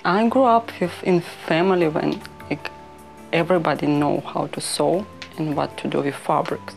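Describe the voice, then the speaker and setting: Ukrainian-accented woman, low creaky voice. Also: steady tone, faint music behind. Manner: halting non-native English with many "like" fillers. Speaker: fashion designer. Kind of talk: interview talk.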